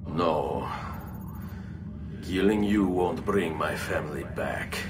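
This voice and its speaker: A man's voice: deep voice, slow pace, noisy background. A man playing a brooding young Italian nobleman turned avenger in a video game, with an Italian accent. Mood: grave, resigned. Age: young adult. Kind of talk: video game cutscene acting.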